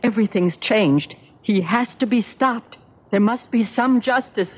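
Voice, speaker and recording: A woman's voice: fairly high pitch, unhurried, breathy edge. Anxious, determined mother, muffled sound. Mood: urgent. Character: anxious, determined middle-aged mother of teenage son. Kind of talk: 1970s radio drama acting. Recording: dull vintage 1970s radio recording.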